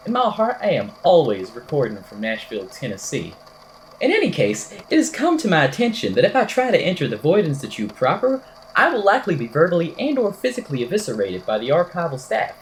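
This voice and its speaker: A woman's voice: on the low side, a little hiss or hum, low-quality recording. A woman playing an eccentric, whimsical podcaster in an amateur audio drama, telling a strange tale in a verbose, wry, theatrical way. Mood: grandly whimsical. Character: eccentric, whimsical podcaster recounting a supernatural statement.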